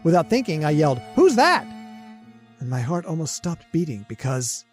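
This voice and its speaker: A man's voice: on the high side, big pitch swings, moderate pace, soft background music. An actor playing a nervous, haunted man who narrates his story in an old-time radio horror drama, jittery and hesitant. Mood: eerie, shivery. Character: nervous, haunted, centuries-old male professor confessing a murder plan.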